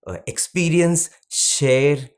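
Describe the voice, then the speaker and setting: A man shares a devotional reflection in an unhurried, thoughtful way. He leans on key words with slow emphasis and lets some phrases drop almost to a whisper. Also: on the high side. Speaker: satsang speaker. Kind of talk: devotional storytelling conversation.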